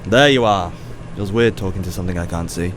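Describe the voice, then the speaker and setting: A man's voice: deep voice, brisk, slight background noise, close to an everyday voice. Wry, weary professor played by a man. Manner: dry, sarcastic, mildly exasperated. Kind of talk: naturalistic audio drama acting.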